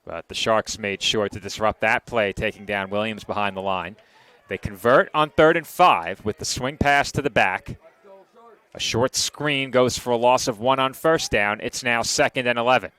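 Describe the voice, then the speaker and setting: Bright-voiced play-by-play football announcer. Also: male voice, mid-range voice, clean tone. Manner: brisk, precise, lightly wry.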